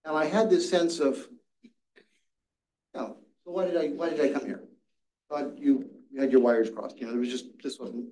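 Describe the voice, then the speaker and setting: A man's voice: medium pitch, steady tone, moderate pace, long pauses, warm tone. An older man teaching a Sabbath school class, telling a story earnestly and often restarting his words, heard from across the classroom. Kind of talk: teaching a Bible study class. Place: church classroom with seated audience. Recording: echoey room from far off.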